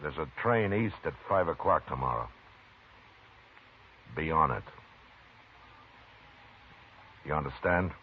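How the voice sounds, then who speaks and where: An actor playing a stern, steady frontier marshal in a 1950s radio drama, with a calm, firm, clipped delivery. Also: male voice, rumbling low, moderate pace. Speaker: town marshal. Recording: tinny vintage radio broadcast with hiss.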